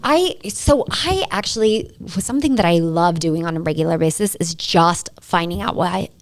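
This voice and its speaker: A woman's voice: fairly low pitch, animated. Bubbly chatty woman guest. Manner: bubbly, chatty, quick laugh. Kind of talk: casual interview chat.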